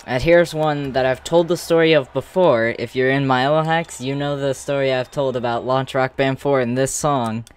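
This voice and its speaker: A person giving casual gameplay commentary in a halting way with false starts, in a room at home. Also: medium pitch, steady tone, clean tone. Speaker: streamer demonstrating a game.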